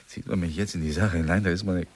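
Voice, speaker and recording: An actor playing a nervous, tipsy, guilt-ridden man in a German radio crime drama, halting and apologetic as he speaks. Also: low-pitched, steady tone. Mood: desperate, pleading. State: tipsy, agitated. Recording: clearly noisy radio drama recording.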